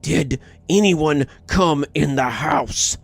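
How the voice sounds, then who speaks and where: A man's voice: on the high side, expressive, takes it slowly, dry recording, pitched above the performer's own voice, exaggerated voice. A man playing a threatening, domineering father in a horror story, speaking in a demanding, menacing way. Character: threatening, domineering father interrogating his family.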